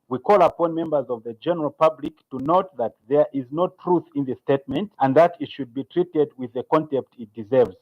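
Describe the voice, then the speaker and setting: Male police spokesperson, Zambian accent, fairly high voice, formal statement reading, muffled audio.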